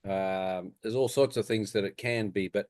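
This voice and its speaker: A man with a fairly low voice gives technical advice. He is deliberate and matter-of-fact, and the recording is dry. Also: fairly even intonation, darkish tone, no room sound. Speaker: technical adviser. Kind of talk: technical advice in group discussion. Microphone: conference call.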